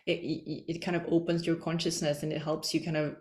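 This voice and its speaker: Woman from the Czech Republic, podcast guest; low, even delivery, chatty and meandering, echoey room. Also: brisk. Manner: rambling, many "like" fillers. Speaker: podcast guest, energy healer. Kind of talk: casual interview chat.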